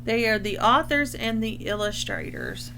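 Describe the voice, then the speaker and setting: A woman with a bright, fairly high voice speaks as a school librarian to young children. Her delivery is instructional and explanatory, in the style of a picture-book read-aloud. A light background noise with a hum sits behind her. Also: wildly swooping pitch. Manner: explanatory, pausing to define words.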